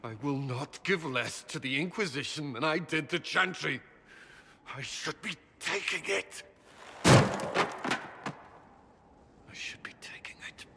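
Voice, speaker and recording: An actor playing an anguished, guilt-ridden former soldier in a game cutscene, speaking earnestly and haltingly with strain. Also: male voice, a little high, gravelly, sharp tone, husky, heavily acted. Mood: agitated, self-reproaching. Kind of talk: dramatic video game cutscene acting. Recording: long hall reverb, light background noise.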